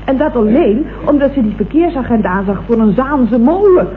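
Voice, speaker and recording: Actress as a high-voiced, gushing office chatterbox. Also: female voice, expressive, moderate pace, natural voice. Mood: chatty, gushing. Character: chatty, blunt female office switchboard employee, breezily tactless. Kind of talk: 1960s Dutch radio comedy acting. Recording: muffled, noisy vintage radio recording.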